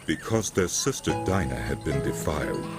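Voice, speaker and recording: A man narrating scripture from a script as a solemn, measured storyteller. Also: extremely low pitch, very animated, loud music behind the voice.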